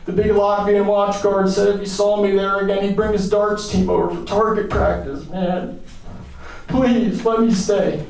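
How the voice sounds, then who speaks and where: Man doing a deranged, grandiose American drifter, pitched high. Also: moderate pace, a little mellow, close to an everyday voice. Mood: desperate, pleading. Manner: pompous declarations, desperate insistence. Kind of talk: dramatic audiobook-style story reading. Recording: echoey room, distant from mic.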